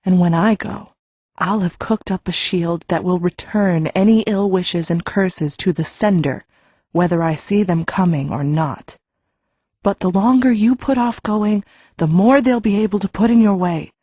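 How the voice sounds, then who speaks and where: A woman's voice: lowish voice, unhurried, mellow, dry recording. A woman playing an injured, stubborn teenage girl mage in an audiobook, blunt and no-nonsense. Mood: stern, urgent. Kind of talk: full-cast audiobook acting.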